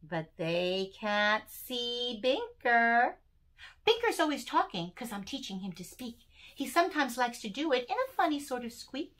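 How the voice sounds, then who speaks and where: An older woman plays a little boy from a children's storybook, earnest and fond. The voice is singsong and emphatic, confiding each line at a measured pace. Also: a little high, very animated, a bit bright.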